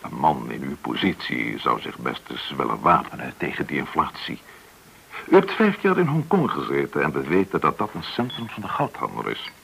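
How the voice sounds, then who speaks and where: An actor in a radio play as a courteous, probing government agent, explaining things with some hesitation. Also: male voice, a bass voice, big pitch swings, moderate pace, gravelly, a bit bright, husky, heavily acted, low-quality recording. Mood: persuasive. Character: polite, probing male government agent recruiting an informant.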